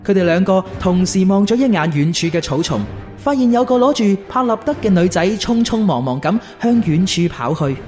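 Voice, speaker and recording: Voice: medium pitch, expressive, brisk, a little mellow, soft background music. A narrator with a Cantonese accent reading scripted storytelling for a radio drama.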